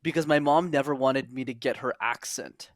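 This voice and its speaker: A young man from Montreal, a podcast guest, telling his story in an earnest, animated way with quick asides. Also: mid-range voice, no echo, clean studio sound. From Montreal, Canada. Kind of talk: casual interview.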